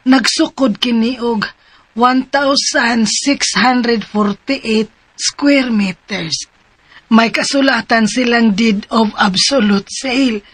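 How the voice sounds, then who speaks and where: A slow, husky grieving widow. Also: female voice, on the high side, no room sound. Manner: slow, measured, heavy-hearted delivery. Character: grieving widowed mother, weary and worried. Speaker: radio drama actor. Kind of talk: radio drama acting.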